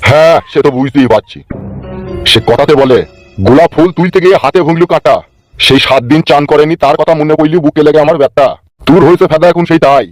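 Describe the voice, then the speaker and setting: A man's voice: on the high side, quick pace, no echo, soft background music, close to an everyday voice. A man voicing a teasing, resentful village friend in a comedy cartoon, taunting in a folksy way. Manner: taunting, quotes folksy rhymes.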